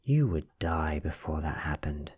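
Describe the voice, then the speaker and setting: A British man voicing an ancient, beautiful female vampire in a soft ASMR storybook reading, measured, breathy and quietly threatening. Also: rumbling low, animated, heavily acted. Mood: cool menace.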